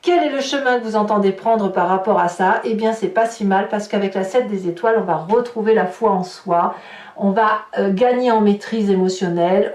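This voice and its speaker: A woman's voice: mid-range voice, a little mellow. Female tarot host, improvised guidance delivery, distant echoey recording. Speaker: tarot reader, host of horoscope guidance videos. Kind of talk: unscripted card reading addressed to viewers. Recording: roomy echo, distant microphone.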